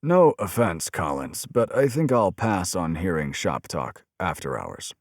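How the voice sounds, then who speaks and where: A man voicing a tubby old medical examiner in an audiobook, grimly matter-of-fact and measured as he explains. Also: sits low, sing-song, dry recording, studio quality, heavily acted.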